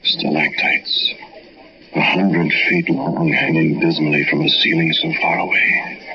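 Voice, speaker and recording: An actor playing a frightened, awestruck man trapped in a cave in 1940s radio horror, dramatic and halting in delivery. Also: rumbling low, steady tone, bright, forceful tone, exaggerated voice. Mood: grave narration. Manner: dramatic, halting, stammering when alarmed. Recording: muffled, noisy 1940s radio recording.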